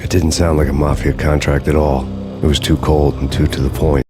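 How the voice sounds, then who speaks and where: An actor playing a grim, world-weary detective antihero in a video game, narrating in a deadpan, sardonic noir style. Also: male voice, rumbling low, fairly quick. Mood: deadpan, brooding. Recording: music playing behind the voice. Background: music.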